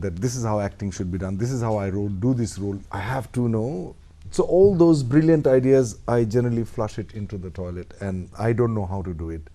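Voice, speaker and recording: Middle-aged Indian actor, Indian English accent, lively pitch, warm dark tone, reflective interview guest. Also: male voice. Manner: thoughtful, animated, acts out little quoted exchanges. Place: radio studio.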